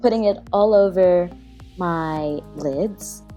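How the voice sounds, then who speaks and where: Female beauty vlogger, slightly low voice, casual and self-deprecating tutorial narration. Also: takes it slowly, mellow, lo-fi sound. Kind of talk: casual makeup tutorial voice-over. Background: background music.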